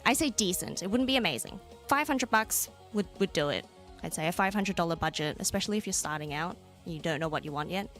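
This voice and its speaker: A young Australian woman streamer chatting casually with viewers, laughing often and jumping into high squeals. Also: expressive, fast. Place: home room. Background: soft background music.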